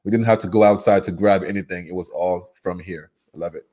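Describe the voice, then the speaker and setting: A man's voice: low voice, fairly even intonation, brisk, warm tone. A man in his thirties from Cameroon with a French-Cameroonian accent, a guest speaking eagerly in an interview and laughing easily. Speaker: guest, program facilitator. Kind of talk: interview conversation.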